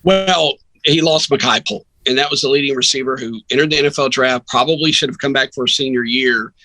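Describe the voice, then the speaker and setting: Man, bright husky voice, casual sports analysis, storytelling broadcaster, dry close sound. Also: mid-range voice, brisk, no echo, poor audio. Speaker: radio sports broadcaster, guest.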